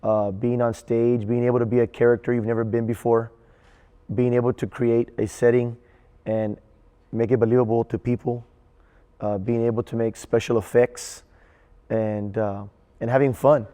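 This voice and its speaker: A man who directs drama giving a reflective interview in a halting, measured way. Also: medium pitch, flat delivery, mellow, clear, resonant tone, long reverb tail.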